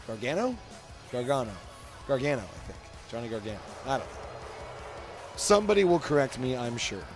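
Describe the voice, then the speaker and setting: A man's voice: mid-range voice, very animated, a little fast. Male commentary host, casual and rambling, jokey, self-deprecating, faint music underneath. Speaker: host commenting on wrestling video game cutscenes. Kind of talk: casual commentary with mock wrestling announcing.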